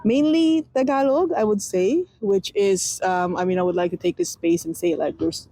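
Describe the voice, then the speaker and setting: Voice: medium pitch, moderate pace, mellow. A radio interviewer of Filipino heritage asking long, rambling questions full of fillers.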